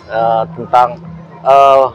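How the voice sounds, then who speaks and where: A chatty man hosts a casual food-tasting interview, joking with his guest and filling the gaps with quick backchannel. His voice sits in an ordinary middle pitch. Clear music plays behind him the whole time. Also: flat delivery, lo-fi sound. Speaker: food show host.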